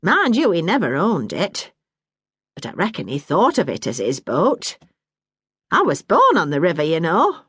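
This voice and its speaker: A woman voicing a middle-aged mother, bossy but warm-hearted, in a brisk, scolding dialect. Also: lowish voice, huge pitch swings, husky, dry recording, full character voice. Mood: fond.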